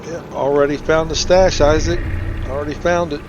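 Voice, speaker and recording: A man's voice: a little high, steady tone, moderate pace, highs cut off, background noise. A man giving casual, informal gameplay commentary, thinking aloud as he plays. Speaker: gamer, playthrough host.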